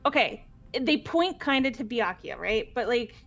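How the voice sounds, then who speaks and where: A woman's voice: fairly high pitch, very animated, moderate pace, vocal fry, a bit bright, soft background music. A woman talking casually as she reasons through a game mystery with friends. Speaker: video game player discussing a mystery with friends. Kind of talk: casual group chat.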